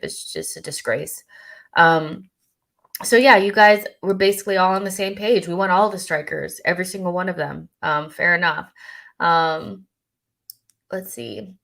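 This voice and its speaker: A woman's voice: low-pitched, vocal fry, a bit bright. A young woman hosting a live stream, reading out chat questions and answering them in a casual, thinking-aloud way full of ums and restarts.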